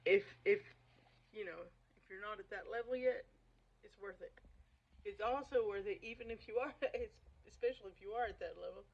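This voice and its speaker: A woman giving informal literary commentary in an emphatic, opinionated and somewhat halting way. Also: medium pitch, vocal fry, husky. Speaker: reader and commentator. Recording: dull, muffled lo-fi recording.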